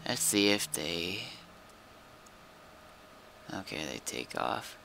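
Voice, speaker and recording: A man's voice: sits low, big pitch swings, takes it slowly, vocal fry. A man livestreaming a game, chatting and commentating casually. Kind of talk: casual gaming commentary and chat. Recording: background noise, keyboard typing.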